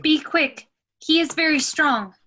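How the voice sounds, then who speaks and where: A woman voicing a gentle alien empath, solemn and halting under strain, in an amateur film table read. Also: high-pitched. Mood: strained urgency. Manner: halting, solemn, simple phrasing.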